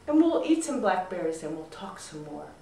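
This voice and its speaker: A woman storyteller voicing a wise, patient village healer who advises in a measured, soothing way. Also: lowish voice, big pitch swings, warm tone. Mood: patient, encouraging. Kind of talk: live storytelling. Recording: roomy echo, distant mic.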